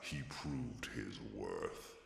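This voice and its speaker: An actor playing a solemn ancient chronicler of a warrior people, narrating a video game in a grave, ceremonious style. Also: male voice, a bass voice, huge pitch swings, extremely slow, creaky, exaggerated voice. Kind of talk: scripted dramatic narration. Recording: cavernous reverberant game narration.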